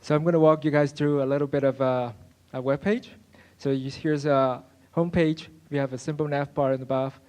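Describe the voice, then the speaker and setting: A young man from Venezuela giving a class project presentation, halting with frequent fillers. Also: medium pitch, steady tone, a little mellow. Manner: halting, many uh fillers and restarts. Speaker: student presenter.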